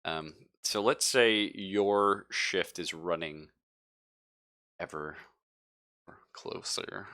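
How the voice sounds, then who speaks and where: A man with a fairly low, forward, bright voice runs a tabletop game. He talks casually as game master and is quick to joke and laugh. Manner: jokey, laughs often.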